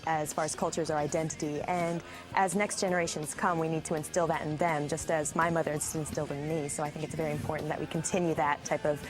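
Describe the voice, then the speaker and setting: A woman guest giving measured, earnest answers in an interview. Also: deep voice, flat delivery, over music, poor audio.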